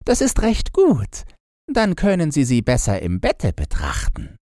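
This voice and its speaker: A man's voice: a little high, huge pitch swings, a little slow, warm tone, no room sound, clean studio sound, voice pushed up. A man voicing a tame female crow in a fairy tale audiobook, prim, polite and formal in manner. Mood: knowing, prim.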